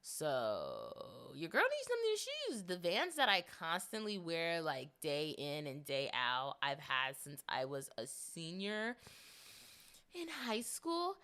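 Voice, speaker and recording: A young woman in her twenties hosting a casual solo podcast, chatting and rambling with animated asides, a little congested. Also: low voice, slow pace, smooth. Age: 20s. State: slight congestion. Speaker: podcast host.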